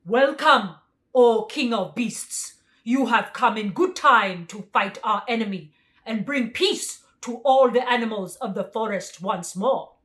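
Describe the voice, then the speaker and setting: A woman voicing a big, grave, respectful tiger in a children's storybook, speaking loudly and formally. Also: a little high, a little slow. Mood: reverent. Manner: loud, emphatic, formal. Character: biggest male tiger, grave, respectful forest beast.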